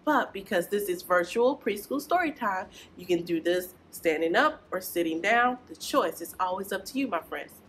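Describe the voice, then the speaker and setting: A woman's voice: mid-range voice, lively intonation, normal speed, hardly any pauses, fairly bright tone, a little hiss or hum. A young woman presenting a preschool storytime, speaking to small children in a singsong, encouraging way. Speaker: children's librarian and storytime host. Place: small indoor children's library corner.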